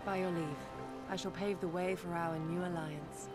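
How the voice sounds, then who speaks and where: A woman's voice: on the low side, level tone, normal speed, over loud music, natural voice. A woman playing a composed, loyal warrior retainer in a video game, speaking formally and courteously. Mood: composed, dutiful.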